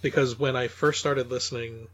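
A man chatting casually as a podcast guest, thinking aloud in a halting way. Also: medium pitch, steady tone, a bit bright. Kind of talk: casual chat. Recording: dull, lo-fi microphone sound.

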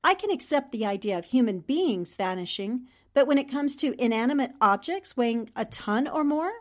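An inquisitive, determined newspaperwoman, played by an actress in an old-time radio drama style. Her voice is high, and she talks in a brisk, probing, composed way. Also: animated, close to an everyday voice. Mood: incredulous. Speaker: voice actor in an audio drama. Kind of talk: 1940s radio drama acting.

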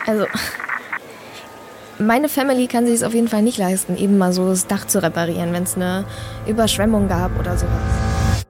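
A woman's voice: mid-range voice, moderate pace, long pauses, over music, lightly acted. A young woman playing an earnest, defiant climate activist who argues in a colloquial way in naturalistic audio drama. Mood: troubled, earnest.

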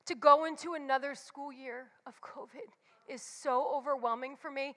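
Woman, forties, high and bright, candid church speaker, long reverb. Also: fairly even intonation, moderate pace. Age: 40s. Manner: candid and confessional, emphatic repetitions. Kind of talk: personal devotional talk.

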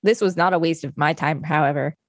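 A woman's voice: sits low, brisk, no echo, clean studio sound. A woman co-hosting a podcast, talking in a casual, conversational style. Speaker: podcast co-host.

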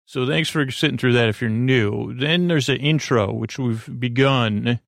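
A man hosting a bedtime podcast, talking in a creaky voice and wandering through tangents with many fillers. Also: mid-range voice, unhurried, no room sound, studio quality. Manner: meandering, full of tangents and fillers. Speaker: podcast host. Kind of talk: rambling bedtime podcast intro.

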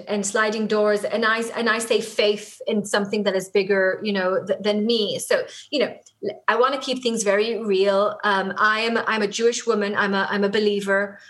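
Israeli woman guest on a podcast, hebrew is her first language, earnest and thoughtful, lots of restarts, middle pitch. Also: steady tone, moderate pace. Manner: earnest, reflective, with frequent restarts. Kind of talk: conversational interview.

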